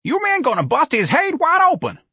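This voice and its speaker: Casting: breathless male factory hand, far higher pitch, colloquial, eager, husky audiobook character. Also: very animated, brisk, bright, forceful tone, dry recording, clean studio sound, heavily acted. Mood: urgent. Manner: colloquial, dropped verbs, breathless. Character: eager, straining working-class male factory laborer.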